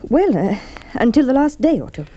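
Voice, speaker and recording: A dull, lo-fi vintage radio drama recording carries an unhappy wife. Her tone is soft-edged and mellow. She is measured and hesitant, sidestepping as she schemes. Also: high voice, sing-song, breathy edge, heavily acted. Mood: hesitant, earnest. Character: unhappy, scheming wife questioned over her husband's murder. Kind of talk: Golden Age radio drama acting.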